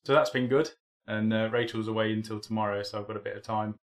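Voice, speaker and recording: A man's voice: on the low side, fairly even intonation, fast. A young man hosting a live hobby stream in casual, rambling chat, still a bit tired from a cold. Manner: rambling, chatty, many ums and restarts.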